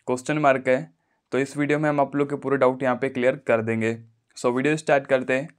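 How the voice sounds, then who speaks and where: A man with a middle-pitched voice walks viewers through a phone tutorial. He speaks casually, like a YouTuber explaining a screen recording. He often checks that the point is understood.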